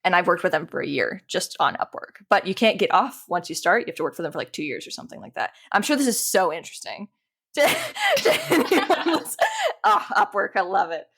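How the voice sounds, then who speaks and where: A woman's voice: on the low side, sing-song, a little fast, vocal fry, fairly bright tone, slightly rough. A woman chatting casually as an interview guest, informal and self-deprecating in the way she talks. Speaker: podcast guest, animator and dungeon master.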